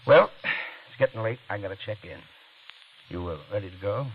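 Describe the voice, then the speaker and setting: A man's voice: lowish voice, animated, moderate pace, husky. An American man acting a skeptical police detective in an old radio drama, sardonic and matter-of-fact. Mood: grimly calm. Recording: muffled, faintly noisy vintage radio.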